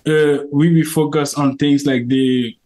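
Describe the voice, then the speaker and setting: Man, cameroonian accent, fairly high voice, earnest, lots of fillers, interview answers. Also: steady tone, a little slow, warm tone. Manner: earnest, with frequent fillers and restarts.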